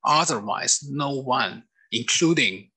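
A man's voice: medium pitch, lively intonation, slow pace, sharp tone, breathy edge, poor audio. A middle-aged man from mainland China with a Chinese accent, giving a webinar lecture from his office over a webcam, with frequent fillers and restarts. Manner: hesitant, many fillers and restarts.

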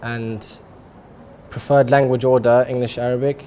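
A man's voice: mid-range voice, little pitch movement, normal speed, dark tone. Young man, co-host, easygoing, laughs a lot, lapel mic, faint background hiss. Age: young adult. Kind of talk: playful studio chat game. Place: studio set with lounge chairs.

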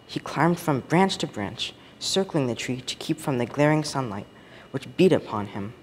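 A teenage young man reads a story aloud in a measured, expressive storybook manner. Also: mid-range voice. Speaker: student story reader. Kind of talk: scripted storytelling read aloud. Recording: reverberant room with background noise.

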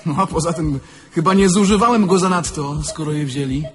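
Music plays behind an actor as a young dead thief in a radio play. His fairly high voice is colloquial and plaintive. He is wry, sentimental and quite unrepentant. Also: a little fast, close to an everyday voice. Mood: defensive, musing. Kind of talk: radio drama dialogue. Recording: music under the voice.

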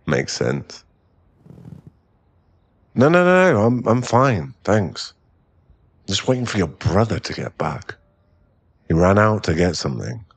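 A man's voice: low-pitched, big pitch swings, slightly rough, exaggerated voice. A man acting a shy, stammering young boyfriend in an ASMR roleplay audio drama. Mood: awkward, shy. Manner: halting, stammering, repeats words. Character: young male millionaire boyfriend, shy, later tipsy and clingy.

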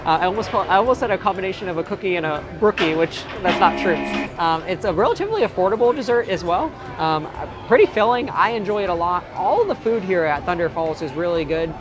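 A young man in his twenties, hoarse from screaming on a ride, giving casual theme park tips to camera outdoors with lots of ums. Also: high-pitched, animated, fast talker, music in the background. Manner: chatty, frequent ums and uhs. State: hoarse, voice cracking. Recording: outdoor crowd and ride noise behind.

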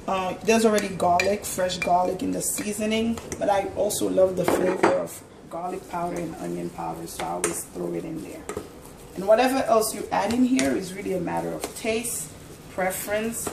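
A woman's voice: medium pitch, expressive, moderate pace, vocal fry. A woman hosting a casual cooking demonstration in her home kitchen, chatting and explaining each step as she cooks, recorded on a camera mic from across the room. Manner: chatty, instructive, talks while cooking. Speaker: cooking channel host. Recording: echoey kitchen, distant mic, background noise.

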